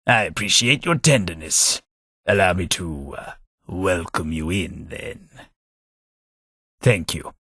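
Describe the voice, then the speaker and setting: An actor playing a formal, polite, long-lived London gentleman in a game, speaking with measured diction. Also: low voice, slightly rough, no echo, clean studio sound, exaggerated voice. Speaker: game companion. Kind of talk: game voice acting.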